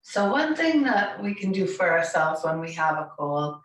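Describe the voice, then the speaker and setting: A hoarse woman in her forties with a raspy voice from a cold, gently guiding a yoga class with soft, soothing instructions. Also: lowish voice, very animated. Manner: slow, soothing, softly encouraging. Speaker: yoga teacher. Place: bright home studio room with large windows. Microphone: camera across the room. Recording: echoey room, voice from far off.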